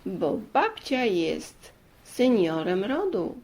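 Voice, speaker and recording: A woman's voice: medium pitch, big pitch swings, extremely slow, darkish tone, heavily acted. A woman voicing a loving young granddaughter in a storybook, thoughtful and trusting, musing to herself.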